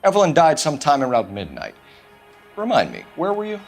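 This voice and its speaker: A man's voice: on the high side, huge pitch swings, brisk, creaky, faint music behind, heavily acted. An American man voicing a stern, accusing young police detective in a crime drama video game, clipped in his questioning. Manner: clipped, forceful interrogator.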